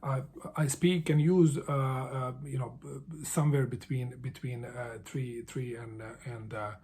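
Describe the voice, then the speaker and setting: Mellow, halting man. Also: mid-range voice, fairly even intonation, breathy edge. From Bosnia. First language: Bosnian. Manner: halting, with frequent restarts and repeated words. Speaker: book vlogger. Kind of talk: casual answers to a book tag.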